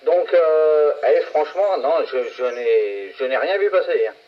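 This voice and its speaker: Higher-pitched man on muffled CB radio. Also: animated, a little slow. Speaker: CB radio operator. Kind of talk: casual chat.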